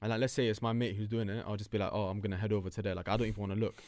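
A man, telling stories fast and loose in casual chat, full of slang and swearing. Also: fairly low pitch, flat delivery, darkish tone, dry recording. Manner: rapid, slangy storytelling, swears freely.

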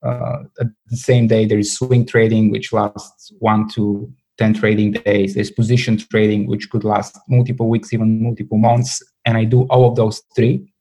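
Male trader, interview guest, flat delivery, warm tone, halting. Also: on the low side, husky, poor audio. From Bulgaria. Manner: hesitant, many fillers and restarts. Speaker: guest, portfolio manager. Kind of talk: interview answers.

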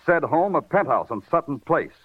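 An actor playing a weary, wry, hard-boiled police detective in 1940s radio noir style, clipped and sardonic. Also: male voice, on the high side, very animated, normal speed, husky, no room sound, phone-quality sound. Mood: tough, pressing. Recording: band-limited vintage radio recording.

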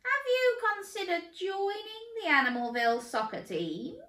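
A woman voicing a grand male chameleon soccer coach who speaks slowly and emphatically. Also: wildly swooping pitch, sharp tone, exaggerated voice. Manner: drawn-out, booming, emphatic. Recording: roomy echo.

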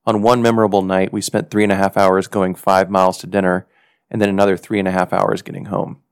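A man's voice: deep voice, vocal fry, clear, resonant tone, no echo, studio quality. A man hosting a podcast, telling a personal story in earnest, reflective scripted narration. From United States. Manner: earnest, reflective, measured delivery. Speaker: podcast host.

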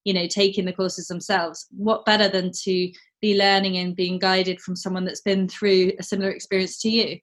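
A woman's voice: mid-range voice, little pitch movement, moderate pace, hardly any pauses. A woman interviewing a guest in a casual chat, encouraging and affirming what she hears.

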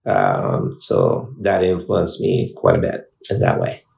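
Casting: deep-voiced man from Peru, unhurried, gravelly, thoughtful interview guest. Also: big pitch swings, darkish tone, reverberant. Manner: measured, pausing with fillers. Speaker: insurance agent. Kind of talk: conversational interview answers.

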